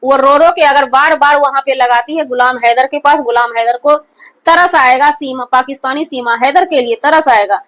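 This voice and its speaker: High-pitched woman giving emphatic commentary. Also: normal speed, fairly bright tone. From India. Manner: emphatic, repetitive, accusatory. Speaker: online commentary host. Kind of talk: opinionated viral-news commentary.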